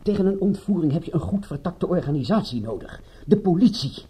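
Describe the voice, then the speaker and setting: A man's voice: high voice, lively intonation, brisk, dark tone, husky, heavily acted. An actor playing an anxious, cautious male private detective in a radio drama, a deferential and hesitant sidekick. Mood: alarmed, pleading. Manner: hesitant, pleading, questioning. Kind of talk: radio drama acting in Dutch.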